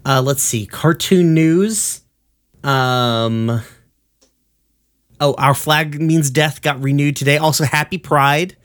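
A man's voice: on the high side, slow pace, a bit bright. A man hosting a podcast in casual, joking chat, loose and a bit sweary. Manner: laid-back, joking, sweary. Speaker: podcast host.

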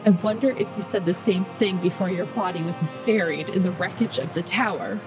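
A woman's voice: lowish voice, brisk, over music, lightly acted. A woman voicing a furious, frightened female Exo survivor who pleads in anguish, in a story read aloud. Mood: bitter.

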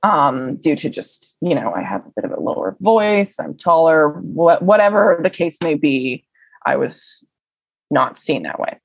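A young woman telling stories in a casual interview, chatty and animated, with lots of "um" and "like". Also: low voice, a little slow, gravelly, slightly rough, dry recording, low-quality recording. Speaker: interview guest. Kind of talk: casual conversational storytelling.

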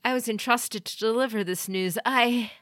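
A woman voicing an exhausted young woman warrior, hoarse and out of breath. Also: on the high side, moderate pace, a bit bright, dry recording, studio quality, pitched above the performer's own voice, close to an everyday voice. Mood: breathless, distraught.

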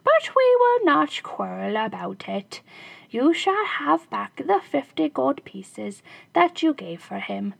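A woman's voice: high voice, very animated, takes it slowly, gravelly, fairly bright tone, no room sound, pitched above the performer's own voice, heavily acted. A woman doing a crafty little shoemaker. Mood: sly. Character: crafty little male shoemaker, clever and spirited.

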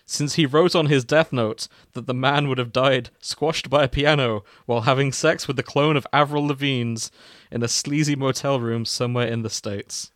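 Guy narrating silly fanfic, amused and a bit theatrical, mid pitch, dry close mic. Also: fairly even intonation, normal speed, fairly bright tone, no echo. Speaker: host reading fan fiction aloud. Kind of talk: comic story narration with casual banter.

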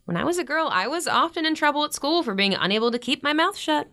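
A woman playing a warm, gently teasing middle-aged mother in a naturalistic audio drama, giving earnest advice. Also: high voice, expressive, quick pace, heavily acted. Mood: candid.

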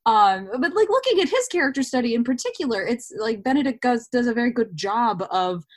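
A woman's voice: fairly high pitch, very animated, fairly quick. A woman actor speaking as an interview guest, explaining her thoughts in a casual, reflective way.

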